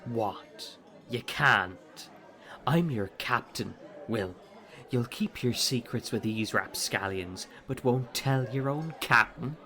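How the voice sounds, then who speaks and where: Upstart pirate captain, boastful bully, slow deliberate pace, taunting, indignant, audio play theatrics. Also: male voice, mid-range voice, lively intonation, bright, forceful tone, exaggerated voice. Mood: scornful, bullying. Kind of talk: theatrical audio drama dialogue.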